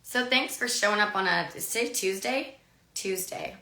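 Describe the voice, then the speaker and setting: A woman in her thirties with a raspy voice, a little hoarse from a lingering cough, teaching a live online haircut in a chatty way, often adding "right?". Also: mid-range voice, moderate pace, sharp tone, reverberant, a little hiss or hum. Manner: chatty, filler-heavy, asks "right?" often. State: recovering from a cough, losing her voice. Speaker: hairdressing educator. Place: studio with a grey backdrop, indoors.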